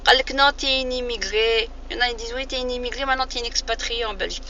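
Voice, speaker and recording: A woman, giving an informal, emphatic opinion monologue to viewers, often halting and repeating phrases to drive a point home. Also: on the high side, steady tone, pushed and bright. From France. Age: 40s. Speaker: vlogger addressing viewers. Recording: muffled lo-fi audio with faint noise.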